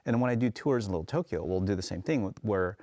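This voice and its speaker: A man's voice: on the low side, fast, dark tone, dry recording, studio quality. A man on a panel telling anecdotes with stumbling restarts. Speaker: panel speaker, tour guide. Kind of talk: panel discussion.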